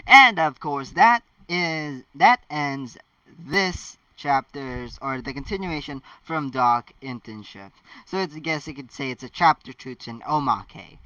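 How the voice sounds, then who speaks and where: A man reading fan fiction as an amateur channel host, with animated, halting delivery and casual asides. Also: fairly high pitch, no echo. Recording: dull lo-fi, distant mic.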